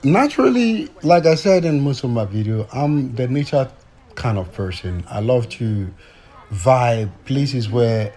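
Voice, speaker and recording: A man's voice: mid-range voice, expressive, measured pace, mellow. A man from Ghana chatting casually to his vlog audience outdoors, hesitant, with many fillers and restarts. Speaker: vlogger.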